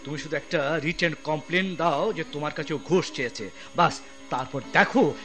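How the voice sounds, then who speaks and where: A man's voice: fairly high pitch, normal speed, music in the background, natural voice. A man playing a blustering, easily swayed general manager who barks orders in a radio drama. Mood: angry, commanding. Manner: blustering, commanding. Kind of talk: broadcast radio drama acting.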